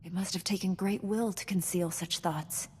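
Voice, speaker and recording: A woman's voice: fairly low pitch, fairly even intonation, moderate pace, a little mellow, slight background noise, close to an everyday voice. Woman acting a composed young Jedi knight, echoing hall. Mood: resolute. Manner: earnest, composed, formal. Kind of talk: dramatic game cutscene acting. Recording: long reverberant hall.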